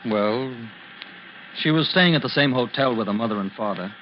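An actor playing a young, weary prizefighter, earnest and plainspoken, in an old-time radio drama. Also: male voice, medium pitch, animated, normal speed, close to an everyday voice. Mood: tender, earnest. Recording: noisy, dull vintage radio recording.

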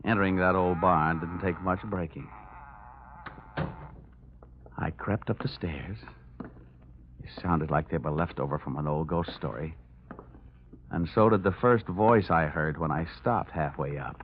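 A man's voice: low-pitched, moderate pace, slight background noise, close to an everyday voice. A man playing a tough, wisecracking insurance investigator, narrating and talking in a punchy, sardonic old-time radio detective style. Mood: wry narration. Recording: dull old radio recording, loud music.

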